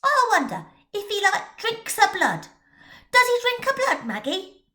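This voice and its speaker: A woman voicing a cheeky young boy from the docks in a storybook reading, blunt and excitable in rough street slang. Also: very high-pitched, a little slow, voice pushed up, exaggerated voice. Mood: eager.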